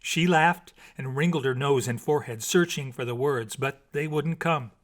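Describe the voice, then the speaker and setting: A man reading a bedtime story as scripted narration, in an expressive, theatrical and deliberate manner. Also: mid-range voice. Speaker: storybook reader.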